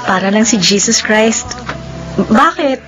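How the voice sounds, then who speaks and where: Torn young heroine, fairly high voice, emotional, reproving, radio romance, music clearly underneath. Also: female voice, animated, slow, rough recording. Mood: teasing, hesitant. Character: conflicted young woman, smitten but resisting forbidden love. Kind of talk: romantic radio drama acting.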